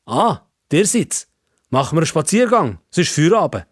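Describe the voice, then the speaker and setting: A man with a Swiss German accent voicing a curious, stubborn old-fashioned gentleman in a children's story, speaking emphatically. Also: fairly high pitch, big pitch swings, moderate pace, breathy edge, no echo, clean studio sound, voice pushed up. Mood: friendly.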